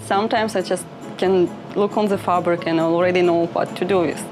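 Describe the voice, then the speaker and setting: A young woman from Ukraine with a Ukrainian accent, a fashion designer talking in halting English full of "like" fillers. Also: on the low side, fairly even intonation, music in the background. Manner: halting non-native English with many "like" fillers. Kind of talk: interview talk.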